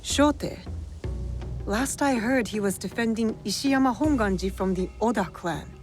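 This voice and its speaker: A woman's voice: fairly high pitch, measured pace, natural voice. An actress playing a determined young female shinobi in a video game, speaking bluntly and with urgency. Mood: curious, determined. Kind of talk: video game cutscene acting. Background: music.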